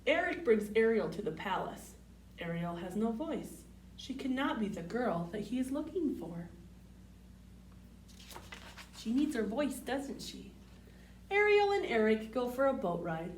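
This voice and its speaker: A woman's voice: a little high, sing-song, measured pace, long pauses. A woman hosting a children's storytime, reading picture books aloud expressively and putting simple questions to young listeners. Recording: roomy, distant mic, faint background noise.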